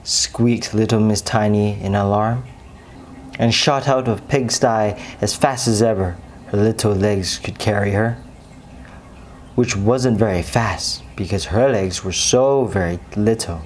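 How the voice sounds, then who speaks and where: A woman narrating a children's bedtime story expressively, with dramatic pauses and deliberate emphasis. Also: very deep voice, a little mellow, a little hiss or hum.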